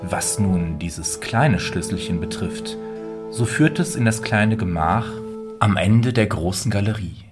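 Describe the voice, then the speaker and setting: A man playing a stern, commanding rich husband and villain in a fairy tale, speaking formally and deliberately. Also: deep voice, lively intonation. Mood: formal, composed. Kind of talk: dramatic fairy-tale reading. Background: music.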